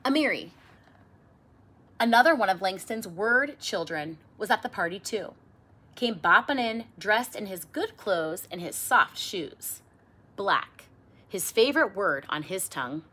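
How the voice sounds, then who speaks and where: A middle-aged woman reading a children's picture book aloud expressively, with theatrical emphasis and drawn-out words. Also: medium pitch, expressive, sharp tone, breathy edge. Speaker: storybook reader. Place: small indoor room with bookshelves.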